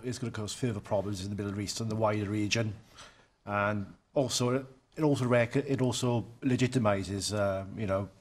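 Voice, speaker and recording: A man giving an unscripted comment as an audience member, halting and repeating his phrases. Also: on the low side, husky. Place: televised debate studio audience.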